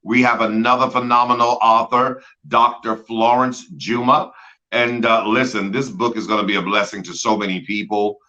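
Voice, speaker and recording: A male host running a show with authors, praising guests warmly and often stumbling over repeated words. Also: medium pitch, little pitch movement, normal speed. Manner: effusive, repeats words, fills with "uh". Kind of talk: enthusiastic author interview. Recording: dull lo-fi sound.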